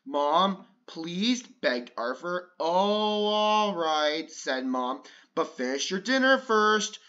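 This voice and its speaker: A man's voice: sits high, slow, lo-fi sound. A man reading a children's picture book aloud, changing his voice for the lines and stopping now and then to comment casually. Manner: breaks off to comment casually between pages; lively, with raised voices for excited lines. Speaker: read-along storyteller.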